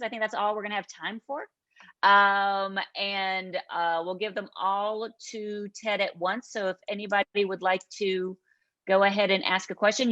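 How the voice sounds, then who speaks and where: A woman's voice: medium pitch, fairly bright tone, no room sound. A woman moderating an online academic event, giving informal instructions over a video call. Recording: dull, dry video-call audio.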